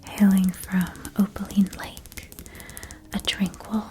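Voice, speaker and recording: A gentle, attentive keeper of a magic wand shop, played by a woman in an ASMR fantasy roleplay. She speaks in a soft whisper and takes her time over each phrase. Her words are carefully formed and soothing. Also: mid-range voice, flat delivery, lightly acted. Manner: slow, soothing, careful enunciation. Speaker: ASMR performer.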